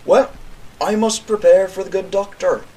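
An actor playing a sinister criminal mastermind in an audio drama, taunting a captive in formal, theatrical language. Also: male voice, high-pitched, steady tone, moderate pace, darkish tone. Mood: coldly taunting.

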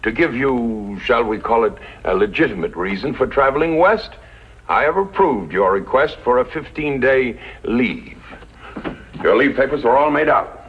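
A man playing a grave army commander in a 1950s radio western, speaking in a deliberate, formal way. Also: medium pitch, expressive, slight background noise, natural voice. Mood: grave, commanding. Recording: dull, muffled 1950s radio recording.

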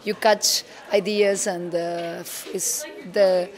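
A woman at a dance festival talking casually in halting English. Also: on the low side, level tone. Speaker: festival participant. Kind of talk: casual interview. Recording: long reverb and noise.

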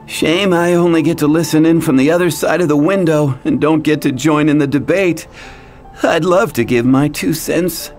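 A man's voice: a little high, measured pace, faint music behind, natural voice. An actor playing a wry, wordy, wistful townsman in a fantasy video game, with a theatrical manner. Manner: sighing, wordy, sardonic.

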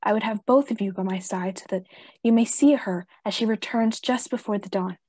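A solemn, insistent professor who hunts vampires played by a woman speaks in a breathy, dark voice. The delivery is deliberate and emphatic radio-play acting, recorded close and dry. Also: mid-range voice, dry recording, lightly acted. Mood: grave, pleading. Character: grave, insistent vampire-hunting professor Van Helsing.

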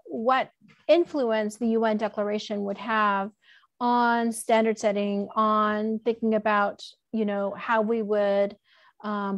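Casting: woman, fairly high voice, dry close sound, frank conversational lecturer on a video call. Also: no room sound. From Alberta, Canada. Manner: informal, frank. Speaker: keynote speaker, law professor. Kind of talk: conversational academic talk. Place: indoor room at home, on a video call. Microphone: computer mic on a video call.

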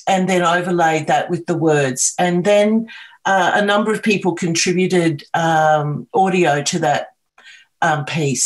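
A middle-aged Australian woman, a video artist, talking about her work on a video call, with many pauses, ums and restarts. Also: low-pitched. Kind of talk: artist talk on a video call, sharing screen.

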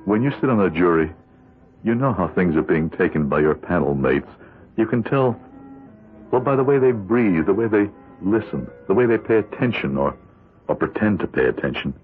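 A man's voice: sits low, lively intonation, normal speed. An actor playing a guilt-torn juror, narrating his secret dilemma in a brooding, hesitant, confiding manner for a 1970s radio drama. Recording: muffled lo-fi radio, faint music.

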